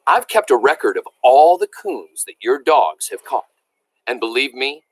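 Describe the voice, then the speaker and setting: Man playing old country grandfather, storybook character, excitable, folksy, emphatic, bright voice, very varied pitch. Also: rough recording. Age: older. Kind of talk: storybook read-aloud.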